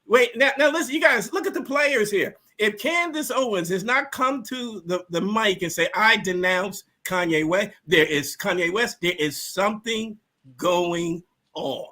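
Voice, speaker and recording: A man talking casually and with strong opinions as a panel guest, animated and emphatic, often repeating himself. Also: high-pitched, a little slow.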